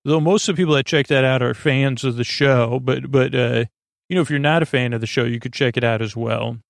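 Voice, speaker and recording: A man with a creaky voice hosting a bedtime podcast, talking in a soothing, meandering, halting monologue that often interrupts itself. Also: medium pitch, no room sound, studio quality. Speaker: host of a bedtime sleep podcast.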